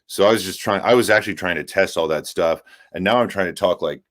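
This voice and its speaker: A man calling in to a livestream on a laptop's built-in microphone, speaking haltingly with lots of fillers. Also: sits low, fairly bright tone. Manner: halting, filler-heavy, self-conscious. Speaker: regular caller and panelist. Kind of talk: casual call-in chat.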